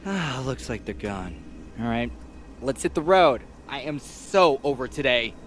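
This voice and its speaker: A man voicing a flippant, excitable, whiny slacker in an audio drama, with slangy delivery. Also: medium pitch, a little slow, slight background noise. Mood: weary.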